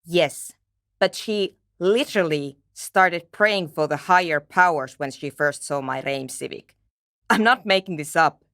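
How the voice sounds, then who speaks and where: A woman's voice: deep voice, unhurried, fairly bright tone, no echo. An actress playing a brusque, nerdy woman programmer in a video game, with a dry, matter-of-fact, sardonic manner. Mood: irritated, sardonic. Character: brusque, nerdy young woman programmer researching a radio anomaly. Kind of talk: video game character dialogue.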